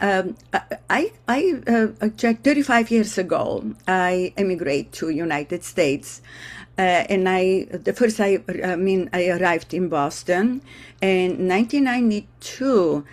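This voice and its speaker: Halting Bulgarian-accented older woman. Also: fairly low pitch. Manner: halting, searching for words. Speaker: vocal ensemble director. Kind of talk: casual interview talk.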